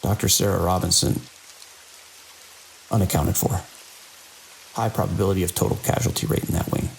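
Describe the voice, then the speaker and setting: A man acts out a grim security chief in a survival horror audio drama. His voice is deep and crackles with gravel as he speaks tersely and gravely, like someone keeping an official log under pressure. A faint hiss like rain sits behind him. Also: steady tone, moderate pace. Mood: grim, bitter. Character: grim, embittered male security chief of a research facility.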